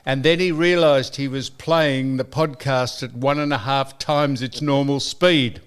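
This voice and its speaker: An older Australian man hosting a podcast, chatting in a rambling, storytelling way and giving listeners shout-outs. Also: mid-range voice, fairly even intonation, a little slow, smooth. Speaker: podcast host. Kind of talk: casual chat.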